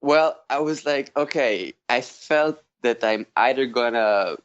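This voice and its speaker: A man in his thirties with an Israeli accent, chatting as an interview guest in a loose, halting way. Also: mid-range voice, lively intonation, highs cut off. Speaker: podcast guest, jazz guitarist. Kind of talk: casual conversational interview.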